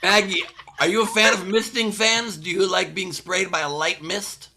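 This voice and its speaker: Guy hosting a comedy chat, super bright voice, cracking jokes a lot. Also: high voice, fairly even intonation, moderate pace, creaky, a little hiss or hum. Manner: joking, laughs often. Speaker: comedy podcast host. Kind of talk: casual comedic banter.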